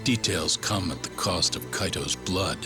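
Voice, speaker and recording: Deep, ominous narrator over music. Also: male voice, measured pace. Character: grave, ominous male story recap narrator. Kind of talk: scripted dramatic game narration. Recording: music clearly behind narration. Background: background music.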